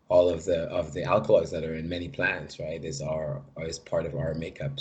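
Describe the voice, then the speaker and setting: A man originally from Guyana, answering interview questions about plants as a shaman guest. He talks in a slow-building, halting way, filling pauses with ums, and his voice sits very low. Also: a bit bright. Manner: hesitant, many fillers. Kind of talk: casual interview.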